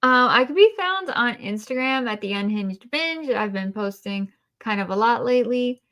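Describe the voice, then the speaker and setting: A woman co-hosting a casual review podcast in a deadpan, dryly sarcastic manner. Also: on the high side, normal speed. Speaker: podcast co-host.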